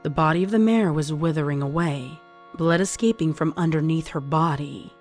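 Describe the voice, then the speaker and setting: A woman's voice: deep voice, moderate pace, a little mellow. A woman reading scripted horror fiction as a dramatic story narrator. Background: music under the voice.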